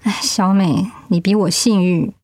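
An actress playing a steady friend and wife who survived cancer, speaking earnestly in an audio drama. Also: female voice, mid-range voice, measured pace, dry recording, lightly acted. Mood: advising, reflective.

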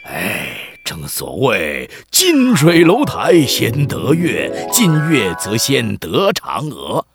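A man's voice: medium pitch, wildly swooping pitch, slow, full character voice. A man with a Taiwanese accent playing a jolly, chatty middle-aged village chief who tells tall tales in a theatrical, teasing way for a children's radio drama. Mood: mock-solemn, playful. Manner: theatrical, teasing, stammering at times. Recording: music bed behind the voice.

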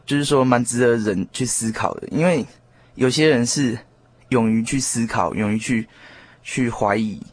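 A man giving his reflections in a casual discussion, deliberate and repeating phrases as he thinks. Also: medium pitch, no room sound. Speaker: panel discussion participant.

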